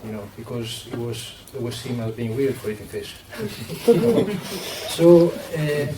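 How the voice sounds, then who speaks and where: A man's voice: medium pitch, sing-song, unhurried. Man, Greek accent, middle-aged, earnest panel talk, many fillers, far from mic, roomy, noisy background. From Greece. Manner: earnest, thoughtful, with frequent fillers and restarts. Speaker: panelist working with athletes. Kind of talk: roundtable discussion. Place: event room. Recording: distant mic in an echoey, noisy room.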